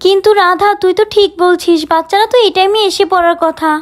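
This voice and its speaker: A woman's voice: very high-pitched, steady tone, quick pace. A woman voicing a chatty, fretful village mother doll in a children's story, in a conversational manner. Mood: worried.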